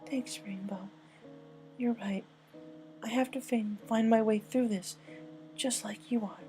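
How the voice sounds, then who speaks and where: A woman's voice: fairly high pitch, steady tone, measured pace, dark tone, faint music behind, lightly acted. A woman acting a shaky, tearful young pony. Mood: fragile, pleading. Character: grieving, anxious young female pony, tearful and hesitant. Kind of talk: dramatic fan-fiction story reading.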